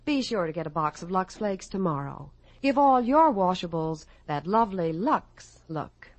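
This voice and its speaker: A woman's voice: fairly low pitch, sing-song, moderate pace, noisy background. A woman reading a scripted 1950s radio commercial. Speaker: commercial spokeswoman.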